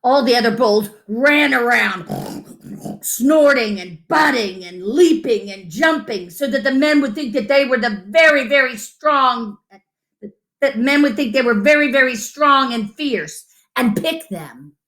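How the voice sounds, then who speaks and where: A woman reading a picture book aloud to children in an expressive, gentle, deliberate storytelling manner, with asides about the pictures. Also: a little high. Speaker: children's story-time reader.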